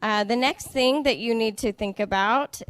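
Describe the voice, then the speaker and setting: Clear, patient woman giving a talk. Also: a little high, moderate pace, fairly bright tone, no echo. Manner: clear, patient, checks understanding. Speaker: international student services director. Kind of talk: slow, simple presentation for English learners.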